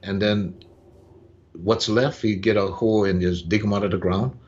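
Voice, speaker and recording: An older man, telling stories unhurriedly as a podcast guest, pausing on "uh" and tagging lines with "yeah?". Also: on the low side, fairly even intonation, a little mellow. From Hawaii. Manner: unhurried, with frequent "uh" pauses and "yeah?" tags. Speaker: guest, farmer and business owner. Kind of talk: relaxed storytelling in conversation. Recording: dull lo-fi sound.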